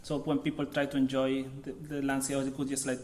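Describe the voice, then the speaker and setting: A man giving an informal artist talk in a college lecture room. He speaks haltingly, searching for words, and his phrasing is loose. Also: mid-range voice, completely flat delivery, darkish tone. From Chile.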